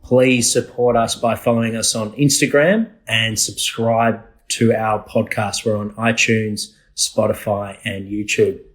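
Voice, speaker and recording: A man's voice: lowish voice, level tone, a little slow, few pauses. A man hosting a podcast, reading an introduction with a few stumbles and chatting casually with his guest over a video call. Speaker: podcast host.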